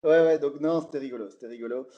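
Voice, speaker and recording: A man's voice: on the high side, fast talker, lo-fi sound. A man with a Belgian French accent telling funny stories in a casual podcast chat, laughing often and filling with lots of fillers. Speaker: guest comedian.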